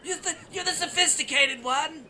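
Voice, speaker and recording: A touchy, easily wounded castaway, played by a man in an audio comedy. The voice is extremely high and sharply bright, with faint noise behind it. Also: normal speed, heavily acted. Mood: indignant. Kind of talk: comedic audio play acting.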